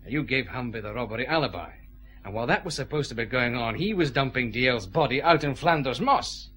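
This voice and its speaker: A shrewd, relentless Scottish police chief inspector, played by a man in a radio detective drama. He interrogates in measured, deliberate steps, with a widely varied pitch that lands hard on each accusation. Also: lively intonation, fairly bright tone, close to an everyday voice. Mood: methodical, accusing.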